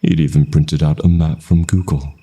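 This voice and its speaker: A man with a gravelly voice reading a scripted first-person horror story in a measured, brooding manner. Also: a bass voice, little pitch movement, warm tone, a little hiss or hum.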